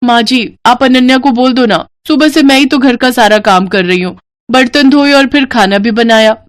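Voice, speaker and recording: A woman's voice: on the high side, normal speed, no room sound, clean studio sound, close to an everyday voice. A woman voicing a sly, lazy young twin sister in a moral story, wheedling and falsely sweet. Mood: wheedling, indignant. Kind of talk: acted dialogue in a Hindi moral story.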